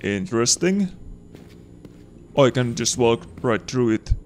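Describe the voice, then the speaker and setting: A young adult man, a non-native English speaker, giving casual, excitable let's-play commentary, swearing and talking to viewers. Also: medium pitch, a little slow, faint music behind. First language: not English. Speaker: gameplay commentator.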